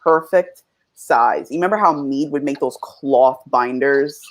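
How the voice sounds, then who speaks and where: A woman co-hosting a casual chat show, chatty and excitable, laughing often. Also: rumbling low, a little slow. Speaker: podcast co-host.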